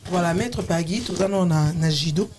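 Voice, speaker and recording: A woman co-hosting a talk show who welcomes the guest in halting speech with many restarts. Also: fairly low pitch, a little hiss or hum. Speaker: co-host.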